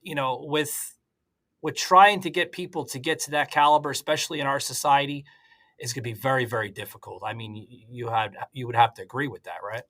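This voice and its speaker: A man's voice: medium pitch, fairly quick, bright, forceful tone. A man hosting a podcast interview, asking casual, rambling questions. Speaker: podcast host.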